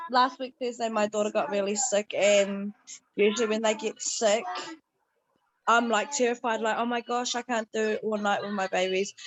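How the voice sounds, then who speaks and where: A woman on a group video call telling her week with hesitant pauses and fillers. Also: mid-range voice, a little hiss or hum, rough recording. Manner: hesitant, lots of ums and likes. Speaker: member sharing a story.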